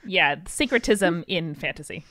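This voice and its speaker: A woman hosting a podcast, reacting in short casual remarks during a relaxed chat. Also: fairly low pitch, unhurried. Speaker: podcast host.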